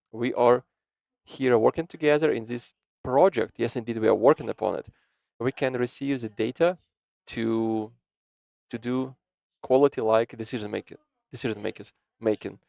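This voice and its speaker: A man's voice: medium pitch, normal speed, creaky, dry recording. A man renders a panel discussion into English on the fly. He often halts, repeats words and restarts sentences as he keeps up with the speakers. The recording is dry and muffled, with a lo-fi edge. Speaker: simultaneous interpreter. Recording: dull, dry lo-fi sound.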